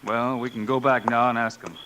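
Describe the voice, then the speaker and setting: A man playing a calm, commanding frontier marshal in a radio western. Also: mid-range voice, brisk, natural voice. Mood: calm, resolute. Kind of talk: 1950s radio western acting. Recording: old radio recording, faint noise.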